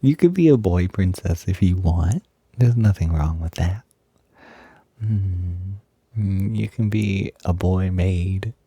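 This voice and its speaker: A man playing an excited, doting, gentle young roommate in an intimate roleplay audio, soft-spoken and breathy, hesitant but gushing and reassuring. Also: sits low, slow pace, no room sound, full character voice. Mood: playful, reassuring.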